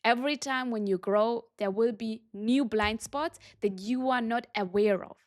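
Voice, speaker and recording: Slow, earnest woman coach. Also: a little high. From Germany. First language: Tamil. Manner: earnest, emphatic, repeats for stress. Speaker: business coach. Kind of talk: motivational talk to camera.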